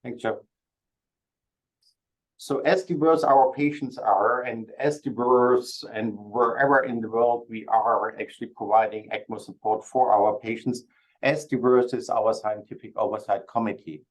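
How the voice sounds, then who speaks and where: A man's voice: medium pitch, steady tone, low-quality recording. A man with a German accent, a registry committee chair, politely introducing a webinar presentation with small restarts. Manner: polite, slightly halting.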